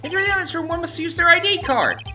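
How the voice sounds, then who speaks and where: Lady doing a jumpy young cop guy from a game, high and shouty, music playing behind. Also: expressive, fast talker. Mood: eager, loud. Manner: shouts every phrase, tacks on "sir". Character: overeager, loud young male police officer on the witness stand.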